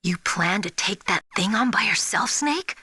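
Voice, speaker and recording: A spirited young woman soldier from a video game, eager to prove herself. Her voice is bright and mid-pitched, recorded very dry, with a frank and expressive delivery. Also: normal speed, breathy edge, no echo. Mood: concerned, alert. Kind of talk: dramatic video game voice acting.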